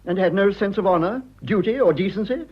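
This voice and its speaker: A man's voice: high voice, fairly quick, slightly rough. An actor playing a pompous, prim upper-class British husband in his thirties, in a radio drawing-room comedy. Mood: bitter, indignant. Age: 30s. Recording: dull lo-fi old radio recording.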